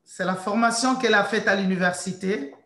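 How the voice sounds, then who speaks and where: A woman hosting an interview, introducing her guest in a slow, hesitant way and slipping into English now and then. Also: medium pitch, a bit bright, roomy sound. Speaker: interview host.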